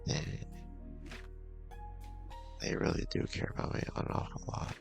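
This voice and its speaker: A man doing a casual let's-play, reading a visual novel aloud in a halting, mumbling way with asides, over game music. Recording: music playing behind the voice.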